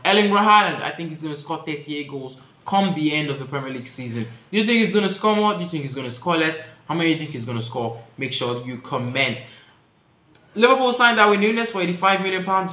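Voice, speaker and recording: A man giving his opinions to viewers in a casual vlog, chatty and speaking straight to the audience. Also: echoey room. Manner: chatty, addresses viewers directly, shifts pitch and loudness often. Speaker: football vlogger.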